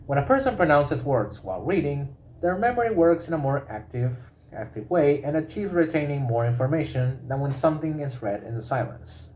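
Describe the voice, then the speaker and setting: Man reading informational text aloud, haltingly. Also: mid-range voice, a little mellow. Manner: halting delivery, often breaking off mid-word and repeating it.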